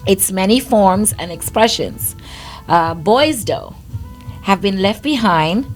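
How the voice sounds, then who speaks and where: A woman's voice: medium pitch, expressive, slow pace, soft background music. A woman from Guyana with a Guyanese accent, co-hosting a podcast in casual chat. She laughs often and restarts her phrases. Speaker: podcast co-host.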